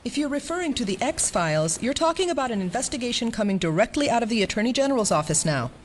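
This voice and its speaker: A woman's voice: fairly high pitch, big pitch swings, fast talker, slight background noise. A woman playing an officious, smug senior government bureaucrat in an audio drama, clipped and dryly condescending. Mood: cool, condescending.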